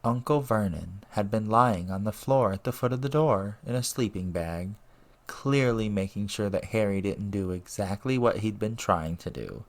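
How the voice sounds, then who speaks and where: A man's voice: lowish voice, moderate pace. A man reading a story aloud as narrator, in scripted audiobook style. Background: music and ambience.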